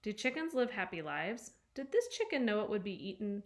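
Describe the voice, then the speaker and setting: A woman's voice: mid-range voice, sing-song, brisk, voice pushed up. Roz, a woman reading as a polite robot. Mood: wondering. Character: gentle, helpful female robot.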